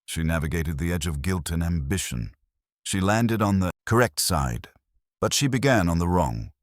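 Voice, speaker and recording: A man's voice: low voice, animated, moderate pace, no echo, studio quality. A man voicing a stern, pedantic, imperious detective with formal, precise phrasing, in an audiobook.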